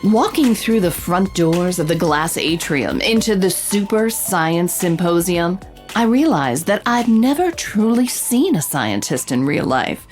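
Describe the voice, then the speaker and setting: An actress playing a confident investigative reporter hosting a true-crime podcast, with theatrical, knowing scripted delivery. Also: female voice, fairly low pitch, very animated, a little slow, faint music behind. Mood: wry, storytelling. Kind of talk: scripted dramatic podcast narration and interviewing.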